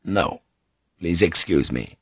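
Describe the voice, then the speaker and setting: A man's voice: sits low, lively intonation, slow, dry recording, voice pushed down, heavily acted. A man playing a gloomy, love-struck man, blunt and terse, in an audiobook. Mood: troubled, blunt.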